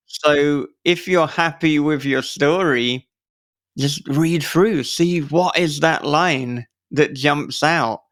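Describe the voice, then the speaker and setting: A man's voice: medium pitch, gravelly, a bit bright, clear, resonant tone. A man hosting a casual interview podcast, chatting in a jokey, self-deprecating way. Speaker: podcast host.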